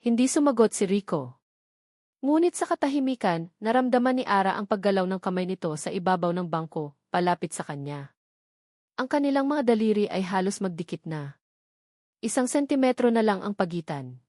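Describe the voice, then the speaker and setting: A woman with a Filipino accent narrating a scripted love story in a measured, expressive, dramatic way. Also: medium pitch, no room sound, studio quality.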